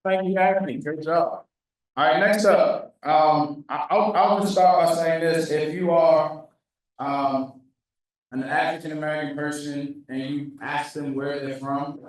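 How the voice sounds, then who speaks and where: A man's voice: a little high, fairly even intonation, moderate pace. A man hosting a live event, introducing the next speaker in a casual, chatty way and talking straight to the crowd. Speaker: event host introducing presenters. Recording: echoey hall, distant mic, faint noise.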